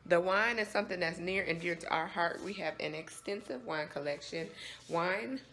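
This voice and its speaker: An American woman with a scratchy, raspy morning voice, chatting casually to her vlog viewers in a folksy, repetitive way. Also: on the low side, moderate pace, a bit bright. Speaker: vlogger.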